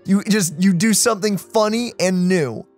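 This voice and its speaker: A man's voice: high voice, moderate pace, a little mellow, faint music behind. A man co-hosting a let's play, giving casual, conversational gaming commentary with a joking manner.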